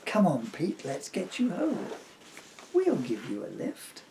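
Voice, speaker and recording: Man reading as a slow, sheepish magic unicorn. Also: high-pitched, huge pitch swings, background noise, voice pushed up, full character voice. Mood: eager. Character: magic unicorn, sheepish and hopeful children's friend.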